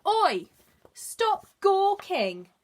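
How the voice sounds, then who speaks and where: Woman doing an angry, barking badger, loud and high. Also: very animated, drawn-out words, exaggerated voice. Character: particularly angry, gruff-tempered male badger.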